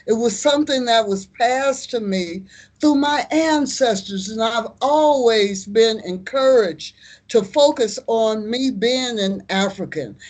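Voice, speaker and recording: An American woman in her seventies, an artist and community activist, answering interview questions in an unhurried, storytelling way with firm declarations. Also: on the high side. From United States. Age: 70s.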